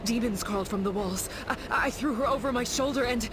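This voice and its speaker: A woman's voice: a little high, a little fast, background noise, close to an everyday voice. A woman playing an earnest, troubled warrior companion in a fantasy game, speaking plainly and with feeling. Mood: distressed, urgent. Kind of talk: scripted fantasy video game dialogue.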